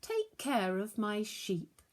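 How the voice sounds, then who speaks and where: Storybook part: kindly, encouraging male teacher played by a female reader, slow, deliberate, gentle instruction. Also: medium pitch, big pitch swings. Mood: gentle, solemn.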